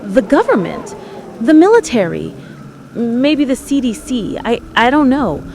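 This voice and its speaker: A woman playing an earnest, fearful conspiracy-believing radio caller with an American accent, halting and insistent. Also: fairly high pitch, big pitch swings, vocal fry, darkish tone, slight background noise, exaggerated voice. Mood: uneasy, urgent. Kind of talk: dramatised radio call-in testimony.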